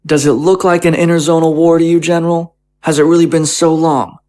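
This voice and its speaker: A man's voice: on the high side, little pitch movement, a little fast, a little mellow, close to an everyday voice. A man playing a conciliatory government official in an audio drama, arguing in a formal, articulate, persuasive manner. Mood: argumentative. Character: smooth-talking, conciliatory male government official, argumentative.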